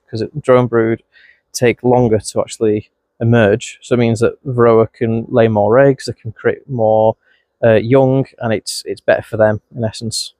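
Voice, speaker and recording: A man's voice: medium pitch, darkish tone, no echo. A man with a mild Scouse-Wigan accent, narrating a beekeeping vlog outdoors at his hives, chatting and scolding the bees as he works. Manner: chatty, talks to his bees, voice jumps up when startled. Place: outdoors at beehives in a bee suit. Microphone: mic clipped close, bees crawling on it.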